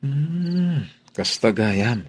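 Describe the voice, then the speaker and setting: A man playing a friendly, inquisitive employer in a radio drama, with a husky voice and a familiar manner. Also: medium pitch, animated, drawn-out words, a little hiss or hum. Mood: sympathetic, curious. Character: friendly, inquisitive male employer chatting with maids.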